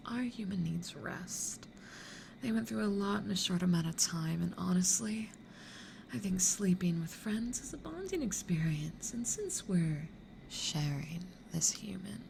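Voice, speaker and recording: A woman playing a playful, mischievous demon in a hushed, teasing, creaky ASMR roleplay whisper. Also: mid-range voice. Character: playful, mischievous demon who toys with humans. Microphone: close studio condenser or binaural mic. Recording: clear background noise.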